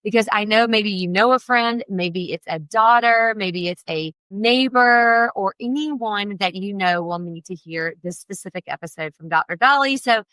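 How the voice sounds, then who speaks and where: A woman hosts a podcast, gushing with enthusiasm. Her voice sits at an ordinary pitch and is recorded close and dry. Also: moderate pace, few pauses, a bit bright, clean tone, no room sound, poor audio. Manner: enthusiastic, effusive. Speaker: podcast host. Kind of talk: podcast interview.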